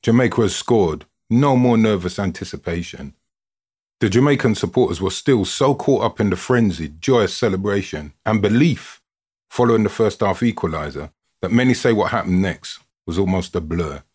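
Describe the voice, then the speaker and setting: A man with a husky voice giving an expressive, dramatic scripted reading, like a storyteller narrating a written account. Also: on the low side, normal speed. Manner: expressive, dramatic delivery with built-up lists.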